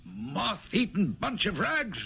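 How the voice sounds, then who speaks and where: An actor playing a stuffy, imperious government director in a 1970s radio drama, pompous, blustering and brusque. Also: male voice, high-pitched, very animated, slow pace, creaky, bright, forceful tone, a little hiss or hum, full character voice. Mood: irritated, commanding. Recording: dull vintage 1970s radio broadcast.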